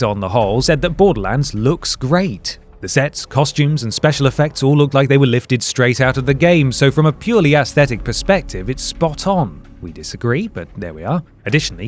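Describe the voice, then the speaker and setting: A man reading a scripted video essay narration, with dry, sarcastic asides. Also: mid-range voice.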